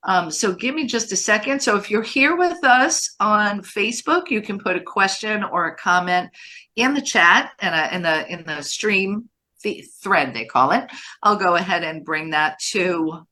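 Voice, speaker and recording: Bright-voiced woman in her sixties hosting. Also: medium pitch, lively intonation, unhurried. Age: 60s. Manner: upbeat, chatty, with restarts. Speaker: host. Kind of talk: live webinar hosting, taking questions.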